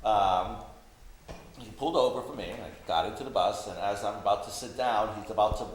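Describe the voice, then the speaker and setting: Low-voiced man in an echoey room. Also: fairly quick, slight background noise. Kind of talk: casual discussion and anecdote.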